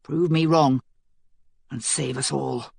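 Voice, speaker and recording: A woman's voice: a bass voice, steady tone, slow, gravelly, a little mellow, slightly rough, no room sound, clean studio sound, voice pushed down, heavily acted. A woman reading aloud, voicing a bitter male soldier captain who accuses his queen. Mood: accusing.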